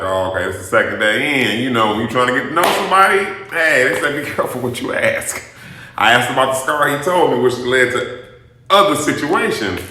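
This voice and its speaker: A man telling a personal story to his viewers in a sassy, dramatic, animated vlogging style. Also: fairly high pitch. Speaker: YouTube vlogger. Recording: very echoey, roomy sound.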